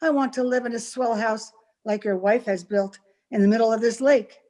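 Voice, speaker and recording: A woman playing a scheming, ambitious young farm girl in an old-time radio melodrama, taunting and deliberate. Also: on the high side, a little mellow, natural voice. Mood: scornful, defiant. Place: remote recording over Zoom.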